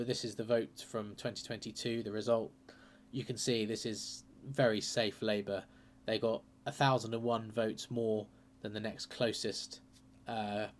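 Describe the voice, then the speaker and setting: Man, lowish voice, croaky from a cold, casual hesitant talk, ums and uhs, faint hum. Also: a little mellow. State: croaky with a sore throat and cold. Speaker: political commentary channel host. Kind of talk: casual talk-through of election data.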